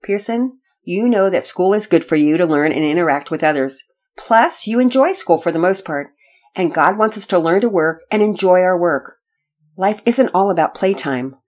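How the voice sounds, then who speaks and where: A woman's voice: on the low side, lively intonation, moderate pace, a little mellow. A woman voicing a firm, practical mother instructing her children in a storybook. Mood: firm, instructive. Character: firm, practical mother giving a familiar lecture.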